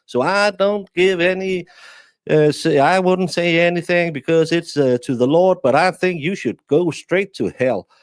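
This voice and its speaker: A man's voice: a little high, measured pace, dry recording, studio quality, voice pushed up, natural voice. A man voicing a sanctimonious Southern churchgoing parent in an exaggerated Southern drawl. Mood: smug pious. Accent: Southern US drawl, put on. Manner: pious, hypocritical, drawling.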